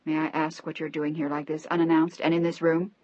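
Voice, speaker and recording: A woman doing a hushed, stern aging father. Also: flat delivery, brisk, dry recording, lightly acted. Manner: low, soft, austere. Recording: dry, slightly dull recording.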